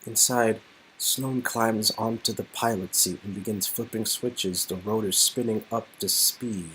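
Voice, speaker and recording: A man's voice: on the low side, measured pace, a little hiss or hum, low-quality recording. A man doing a dramatic reading of game dialogue, mixed with rambling, joking casual banter. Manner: animated, improvising, rambling when joking. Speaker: reader performing an interactive game's dialogue.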